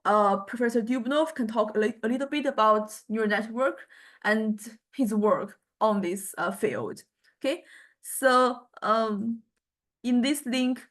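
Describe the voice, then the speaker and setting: A woman lecturing informally to a university class, speaking haltingly and often stumbling on the starts of words. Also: on the high side, a bit bright. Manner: halting, hesitant, with frequent stammered word starts.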